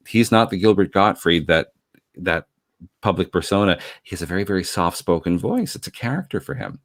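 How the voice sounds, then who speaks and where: Man, low-pitched voice, from Canada, effusive, rambling, repeats words, casual conversation, dry close sound. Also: dry recording. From Canada, grew up in Montreal.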